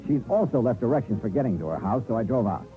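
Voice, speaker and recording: A narrow, tinny vintage radio recording with music behind. An actor plays a wry American male investigator in a detective serial, hard-boiled and clipped, with a very animated, mid-pitched delivery. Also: fast talker, lightly acted. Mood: tense narrating. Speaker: lead actor and first-person narrator. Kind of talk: old-time detective radio drama acting.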